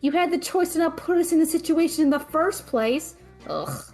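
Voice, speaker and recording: A woman playing a sharp-tongued, responsible female student mage in an audio drama, blunt and sardonic. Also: sits high, sing-song, moderate pace, faint music behind. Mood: exasperated.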